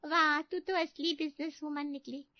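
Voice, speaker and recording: Teasing, admiring girlfriend for a cartoon story, played by a woman, extremely high, dull audio. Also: steady tone, moderate pace, dry recording, close to an everyday voice. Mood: amused admiration. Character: admiring, teasing female friend of maid. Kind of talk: cartoon moral story dialogue.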